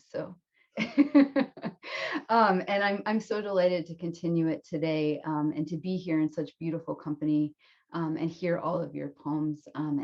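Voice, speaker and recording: Low-voiced woman on slightly dull audio. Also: a little mellow. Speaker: guest poet. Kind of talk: informal spoken introduction to a reading.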